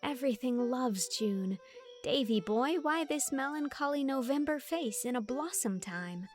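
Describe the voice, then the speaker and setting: A woman's voice: sits high, expressive, unhurried, faint music behind, voice pushed up. A woman voicing a dreamy, cheerful young woman with a lilting, enthusiastic way of talking.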